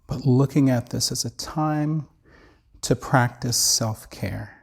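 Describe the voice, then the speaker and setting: Creaky-voiced man slowly guiding meditation. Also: mid-range voice. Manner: measured, soothing, with long pauses. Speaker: meditation teacher. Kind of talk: guided body scan meditation.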